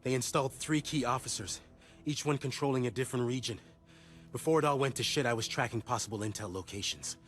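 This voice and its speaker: An actor playing an earnest, informal CIA contact in a video game cutscene. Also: male voice, mid-range voice, fast talker, a bit bright, soft background music, close to an everyday voice. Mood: eager, determined. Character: grateful, earnest rescued male CIA intelligence contact.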